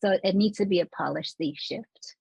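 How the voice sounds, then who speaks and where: A woman scholar from Los Angeles explaining urban planning in a casual interview, animated and laughing now and then. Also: mid-range voice, moderate pace, vocal fry, breathy edge, no room sound, rough recording.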